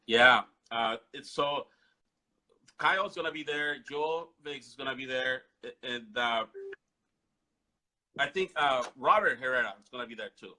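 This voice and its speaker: Bright-voiced male host. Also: medium pitch, a little slow, long pauses, low-quality recording. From Mexico. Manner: chatty, loose grammar, prompting with questions. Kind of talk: casual talk-show hosting.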